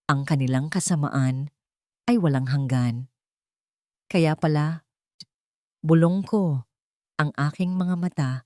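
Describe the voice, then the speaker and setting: Woman narrating a tearjerker audiobook, lowish voice, steady and dramatic, dry close mic. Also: no echo. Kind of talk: scripted first-person drama narration.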